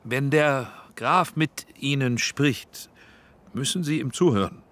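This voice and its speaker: A careful, tactful middle-aged film director who picks his words slowly and halts mid-sentence. His voice sits in an ordinary male register, plain and unforced. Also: medium pitch, a bit bright. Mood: hesitant. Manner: hesitant, diplomatic, halting. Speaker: dialogue director on set. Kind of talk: radio drama acting.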